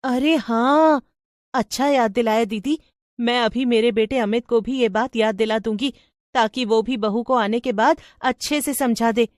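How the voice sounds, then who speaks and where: A woman's voice: on the high side, a little slow, darkish tone, no room sound, studio quality, voice pushed up, close to an everyday voice. A woman voicing a hospitable middle-aged village mother in a storybook tale, eager and deferential. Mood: grateful.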